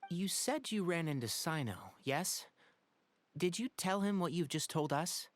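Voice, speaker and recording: Youthful, lively forest watcher. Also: male voice, a little high, measured pace. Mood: thoughtful. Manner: polite, precise, decisive. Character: youthful, level-headed male forest watcher, brisk and responsible. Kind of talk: video game voice acting.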